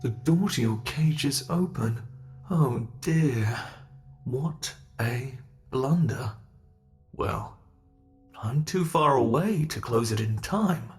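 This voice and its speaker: Man voicing a slow-talking, possessive ancient monster. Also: mid-range voice. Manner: slow, theatrical, teasing, formal wording. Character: ancient male monster, smug, possessive, playfully menacing captor. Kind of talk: ASMR roleplay, scripted monologue.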